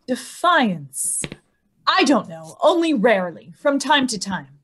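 Radio drama robot specialist, female actor: detached, observant, with a fairly high voice. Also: big pitch swings, slow, a bit bright, husky, heavily acted. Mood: detached, musing. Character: detached expert on robot behavior. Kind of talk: old-time radio drama acting.

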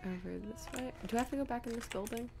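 A woman's voice: a little high, fast, background noise. A woman streamer giving casual live gameplay commentary, chattily thinking aloud to herself and her viewers. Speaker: video game streamer.

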